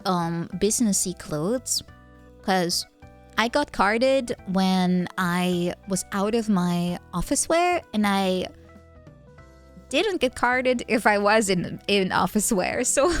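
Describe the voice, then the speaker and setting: A young woman streaming, chatting casually with viewers. She laughs often, thinks aloud with fillers, and is tipsy from wine. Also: a little high. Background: faint background music.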